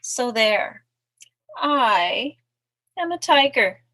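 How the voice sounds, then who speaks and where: Woman voicing a boastful little storybook mouse, bright voice. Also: a little high, lively intonation, very slow, pitched above the performer's own voice, full character voice. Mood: defiant. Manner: defiant, bragging, growls little grrrs. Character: small boastful mouse insisting he is a tiger. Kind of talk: children's picture-book read-aloud.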